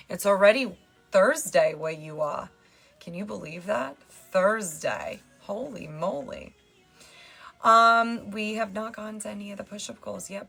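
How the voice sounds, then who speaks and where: A woman's voice: on the low side, a bit bright. A woman with a New Jersey accent chatting casually on a fitness livestream. She jokes around and greets viewers by name. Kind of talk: casual live-stream chat.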